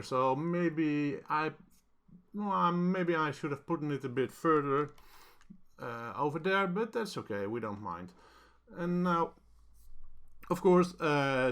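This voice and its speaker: A man hosts a casual software tutorial, talking in a hesitant, halting way as he thinks aloud. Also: medium pitch. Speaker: tutorial host.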